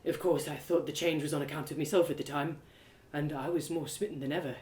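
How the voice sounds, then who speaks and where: A woman's voice: low voice, steady tone, quick pace, darkish tone, natural voice. Woman acting a heartbroken young village lad, roomy echo. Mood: recollecting. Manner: colloquial rustic, confiding, hesitant. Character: heartbroken earnest young village man confiding in stranger. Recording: roomy echo of home live reading.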